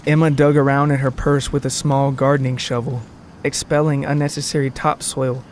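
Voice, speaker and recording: A man's voice: mid-range voice, flat delivery, moderate pace, a little mellow, slight background noise. A man reading scripted story narration, with music playing behind his voice.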